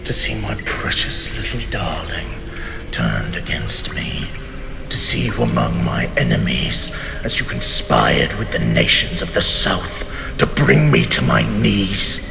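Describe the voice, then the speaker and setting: A man playing a proud, betrayed immortal mage king in a fantasy audio drama, with theatrical, measured, imperious speech and a menacing, bitter temper. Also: deep voice, big pitch swings, vocal fry, heavily acted. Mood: wounded, bitter. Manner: theatrical, measured, imperious, often dropping to a whisper. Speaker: voice actor. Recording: long cavernous reverb, music underneath.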